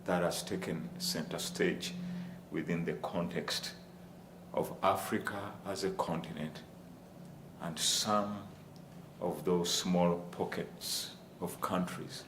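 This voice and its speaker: A man from Uganda, giving a formal presentation on a university panel and addressing the audience as ladies and gentlemen. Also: sits low, fairly even intonation, slow. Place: lecture room. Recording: echoey room with faint ambient noise.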